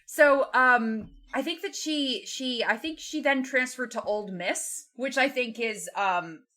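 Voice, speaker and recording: A woman's voice: fairly high pitch, slow pace, bright, forceful tone. A woman hosting a podcast, talking casually about books in a hesitant, conversational, joking way. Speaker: podcast host. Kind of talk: casual book discussion.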